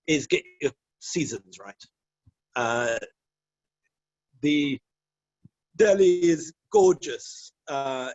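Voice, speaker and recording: A man's voice: medium pitch, no echo, highs cut off. A middle-aged man from Scotland, a guest answering interview questions in a wry, rambling way, with self-deprecating humor and frequent laughs.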